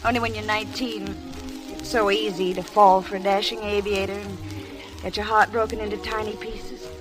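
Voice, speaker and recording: A woman's voice: mid-range voice, little pitch movement, moderate pace, music in the background, close to an everyday voice, rough recording. Earnest married woman played by actress, old radio. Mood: earnest, confiding. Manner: earnest, confiding. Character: concerned, gentle married woman friend. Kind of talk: 1930s radio drama acting. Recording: old 1930s radio broadcast recording.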